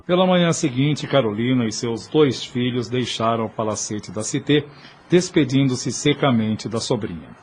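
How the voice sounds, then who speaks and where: A man's voice: mid-range voice, moderate pace, soft background music. A Brazilian man giving scripted narration for an audio drama in formal language.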